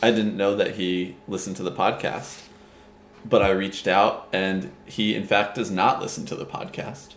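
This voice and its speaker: A man's voice: low-pitched, little pitch movement, normal speed. A man hosting a casual podcast chat, telling a rambling story and laughing easily. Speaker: podcast host. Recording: echoey room, faint background hum.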